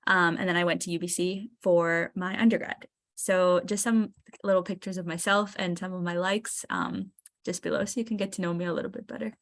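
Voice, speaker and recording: A woman's voice: lowish voice, steady tone, fairly quick, a bit bright. A woman in her twenties giving a casual online talk as a guest speaker, chatty with frequent ums and restarts. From Seattle, Washington, US. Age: 20s. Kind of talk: casual presentation.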